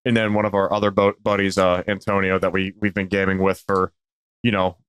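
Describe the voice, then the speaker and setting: Smooth, lowish-voiced man chatting casually. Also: little pitch movement, brisk. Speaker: podcast guest. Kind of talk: casual chat.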